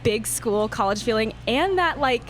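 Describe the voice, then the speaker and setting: A woman in her twenties, a podcast guest in casual chat, bubbly and quick to laugh. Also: fairly high pitch, expressive, soft background music. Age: 20s.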